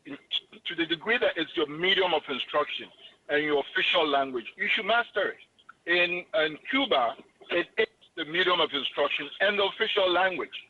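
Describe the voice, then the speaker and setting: A man's voice: a little high, lively intonation, moderate pace, bright, forceful tone. A Ghanaian man with a Ghanaian English accent, a guest expert speaking emphatically in a lecturing way during an interview. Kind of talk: interview on education. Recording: muffled radio broadcast with faint noise.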